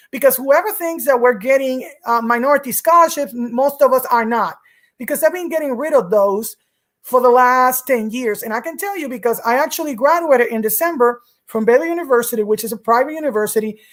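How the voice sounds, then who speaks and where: A woman from Rico, hosting her own vlog and talking earnestly to camera, repeating words for emphasis. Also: high voice. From Puerto Rico. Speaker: vlog host. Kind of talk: casual talk to camera.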